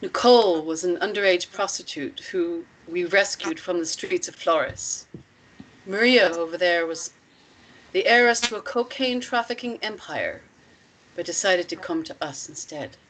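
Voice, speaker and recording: A woman acting a composed, persuasive protector of runaway women in a script read, speaking in a deliberate, measured way. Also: fairly low pitch, fairly bright tone, poor audio. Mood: earnest, persuasive. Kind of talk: script table read, acted dialogue.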